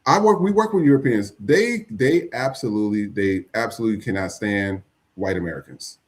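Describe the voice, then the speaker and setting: Blunt, animated male podcast host. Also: on the low side, a little fast. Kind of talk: casual commentary.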